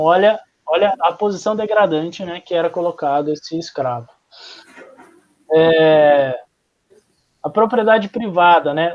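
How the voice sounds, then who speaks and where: A man's voice: high-pitched, darkish tone. Chatty Brazilian male teacher, lo-fi sound. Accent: Brazilian Portuguese. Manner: chatty, informal, frequent fillers and restarts. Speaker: history teacher. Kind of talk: casual classroom lecture. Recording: dull, lo-fi.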